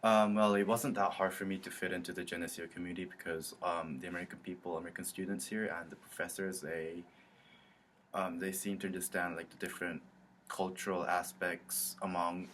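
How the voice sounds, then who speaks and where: A young man from South Korea with a very low voice talks about his studies, hesitating often and restarting his sentences. There is a faint hiss behind him. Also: level tone, brisk, fairly bright tone. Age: young adult. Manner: hesitant, many ums and restarts. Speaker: international student. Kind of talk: interview testimony.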